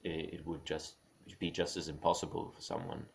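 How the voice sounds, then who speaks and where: Very deep, gravelly-voiced man musing aloud. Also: big pitch swings, slight background noise. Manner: halting, with frequent restarts and small laughs; musing, opinionated. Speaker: speaker on creative careers. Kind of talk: unscripted spoken monologue.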